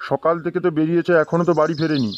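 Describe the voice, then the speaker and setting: A man's voice: a little high, steady tone, racing, dull audio, close to an everyday voice. A man voicing a flustered, pleading old village father in a comedy cartoon, with a West Bengal accent. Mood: anxious, pleading. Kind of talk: amateur comedy cartoon dubbing. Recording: faint background noise.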